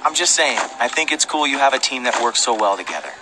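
A man's voice: medium pitch, very animated, quick pace, close to an everyday voice, poor audio. An actor playing a friendly, helpful young man in an adventure game, with an easygoing way of talking. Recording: game music behind the voice.

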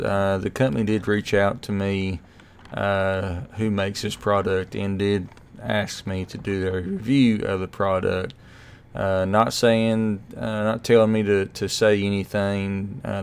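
A middle-aged man giving a relaxed, rambling product review, full of fillers and restarts. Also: fairly low pitch, smooth. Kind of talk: casual voice-over review. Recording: music playing underneath.

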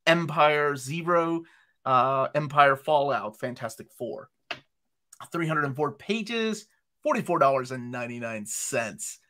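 A man's voice: on the high side, sharp tone. A man who speaks English as a second language, hosting a casual panel chat over a video call, joking and reading product listings aloud. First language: not English. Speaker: host.

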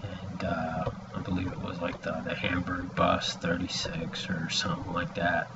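A man in his forties with a gravelly voice, giving a halting, rambling personal message to a webcam at home. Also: sits low, completely flat delivery. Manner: halting, hesitant, with long pauses. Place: small room indoors at home. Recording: dull lo-fi webcam audio, background noise.

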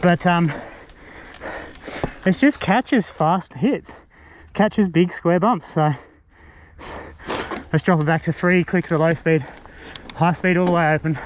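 A man's voice: on the high side, takes it slowly, long pauses. A man giving casual, laid-back, fragmented gear commentary while riding a mountain bike. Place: outdoors on a bushland trail, riding a bike. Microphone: action camera worn while riding. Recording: muffled action-camera audio, loud wind and trail noise.

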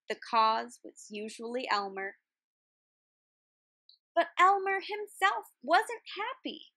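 A woman reading a children's picture book aloud in an expressive, deliberate way, like a teacher. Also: sits high, no echo. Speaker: read-aloud storyteller, art teacher.

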